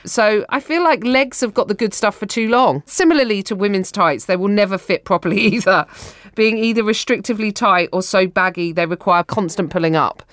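A woman's voice: mid-range voice, brisk, no echo, studio quality. A woman guest co-hosting a comedy podcast, riffing bawdily in rambling, stammering bursts with frequent restarts. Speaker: guest co-host. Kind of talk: improvised comedy banter. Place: studio in New York.

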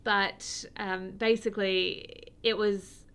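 A woman's voice: medium pitch, flat delivery, slow pace, a bit bright, clear, resonant tone. A woman co-hosting a podcast in casual chat, chatty and explaining at length with frequent laughs. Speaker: podcast co-host.

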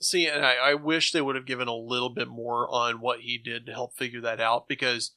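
Bright, dry-sounding male co-host. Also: medium pitch, little pitch movement, brisk, no room sound. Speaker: podcast co-host. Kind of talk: casual chat.

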